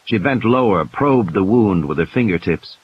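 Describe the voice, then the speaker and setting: Expressive male audiobook narrator with animated pitch. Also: normal speed, muffled recording. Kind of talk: dramatic scripted narration. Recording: hissy, noisy background.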